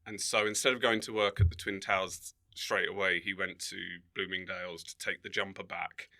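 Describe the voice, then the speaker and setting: This is a man with a fairly low voice that has a sharp, bright edge. He talks like a comedian guesting on a podcast. His delivery is casual and wry, full of banter, and he laughs easily. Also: fairly even intonation, a little fast.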